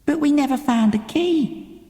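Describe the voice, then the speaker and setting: A man's voice: very high voice, measured pace, dark tone, no room sound, clean studio sound, voice pushed up. A man voicing an uncertain, wondering young lad with a hesitant manner in an audiobook. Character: Milo, uncertain, wondering young lad with London street talk. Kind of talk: dramatic audiobook character reading. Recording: cassette audiobook.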